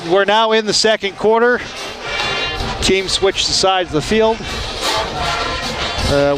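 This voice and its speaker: A man giving chatty, easygoing commentary at a high school football game, pausing with fillers. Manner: chatty, laughs easily, hesitant fillers. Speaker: color commentator. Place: outdoor football stadium. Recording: marching band music playing behind. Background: marching band music.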